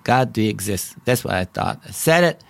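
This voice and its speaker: A middle-aged man from Bulgaria with a Bulgarian accent, telling his personal story as a podcast host in an earnest, halting way with frequent restarts. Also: on the low side, lively intonation, vocal fry, a bit bright, no room sound. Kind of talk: personal testimony.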